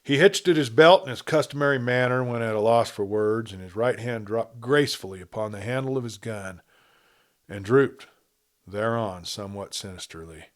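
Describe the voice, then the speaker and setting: A man's voice: lowish voice, normal speed. A man reading a western story aloud as a scripted narrator. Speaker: audiobook narrator.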